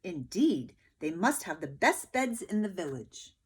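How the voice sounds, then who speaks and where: A woman's voice: fairly low pitch, very animated, a little slow, noisy background. A woman reading the part of simple, awestruck village peasants in a storybook, earnest and emphatic. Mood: grateful, admiring.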